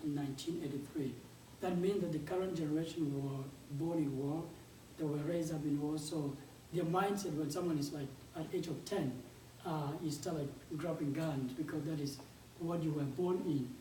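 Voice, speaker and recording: A man in his twenties with a South Sudanese accent gives an informal talk in a halting, conversational way, often repeating words. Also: fairly high pitch, fairly even intonation, dark tone. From South Sudanese, grew up in a refugee camp in Uganda. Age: 20s. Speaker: game developer and startup founder, guest speaker. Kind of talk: informal conference presentation. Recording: echoey room, distant mic, background noise.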